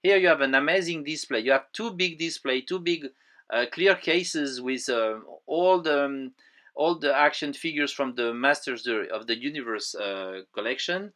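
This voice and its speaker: A man with a French accent, not speaking his native language, narrating a voice-over for his toy collection vlog with many pauses and fillers. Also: mid-range voice, a bit bright. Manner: halting, many fillers and restarts. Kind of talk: voice-over narration of a museum visit. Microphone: voice-over, close mic.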